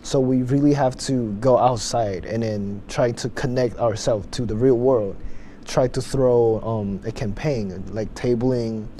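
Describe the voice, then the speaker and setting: A young man with a Japanese accent answers interview questions as a student guest. His voice is mellow and medium-pitched, with faint noise behind it. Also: steady tone, a little slow. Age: young adult.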